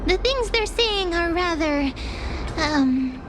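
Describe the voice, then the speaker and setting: A woman's voice: very high voice, expressive, slow pace, heavily acted. A woman voicing a tiny, cute, childlike companion creature, eager and earnest, in scripted game dialogue. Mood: wondering, hesitant. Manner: cutesy, earnest, slightly boastful.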